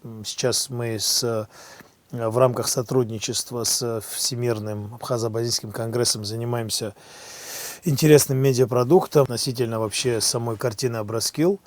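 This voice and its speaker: A man of medium pitch explains his work in an interview, measured and formal, halting between phrases. The sound is dry. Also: steady tone, no room sound. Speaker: cartoon voice actor.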